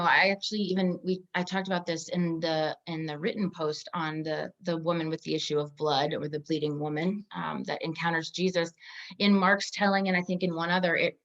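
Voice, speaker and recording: Woman chatting thru bible stuff, lowish voice, thoughtful, kinda dull lo-fi audio. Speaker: podcast co-host. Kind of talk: conversational Bible discussion.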